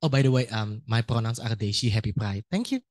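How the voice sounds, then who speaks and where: An audience member from Indonesia asking a question from the floor, friendly and a little hesitant. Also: male voice, on the low side, fast talker, darkish tone, no echo, studio quality.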